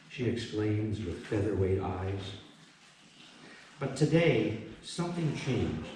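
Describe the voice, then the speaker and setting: A man speaking to a live gathering as a poet, reflective and halting, moving between informal talk and reading. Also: mid-range voice, darkish tone. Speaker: poet presenting his work. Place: book launch event in an echoey room. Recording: echoey room, distant mic, faint noise.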